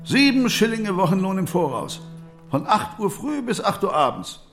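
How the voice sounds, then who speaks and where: An actor playing a businesslike warehouse manager in a German radio drama, giving instructions briskly and matter-of-factly. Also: male voice, high voice, sing-song, a bit bright, faint music behind, heavily acted.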